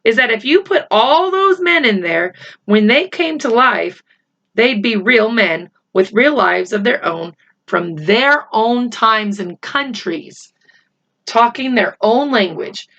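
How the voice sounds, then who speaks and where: A worried young boy, read by a woman, a little raised in pitch. He explains earnestly and haltingly in a storybook manner, on a slightly muffled recording. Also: lively intonation, a bit bright, heavily acted. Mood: earnest, explaining. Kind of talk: children's storybook character voice.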